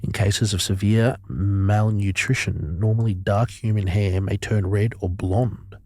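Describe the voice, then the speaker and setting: A man's voice: lowish voice, steady tone, unhurried, dark tone, no echo, studio quality. A man co-hosting a podcast, bantering bluntly and irreverently with plenty of swearing. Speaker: podcast co-host. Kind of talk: casual banter, heavy swearing. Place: vintage caravan turned studio.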